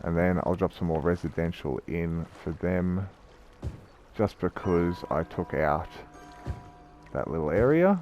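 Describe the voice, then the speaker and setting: A young man, croaky from a cold, giving casual rambling commentary as he plays a city-building game. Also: low-pitched, lively intonation, a little slow, pauses often, darkish tone, highs cut off, soft background music. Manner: chatty, rambling, self-correcting. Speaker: gaming YouTuber.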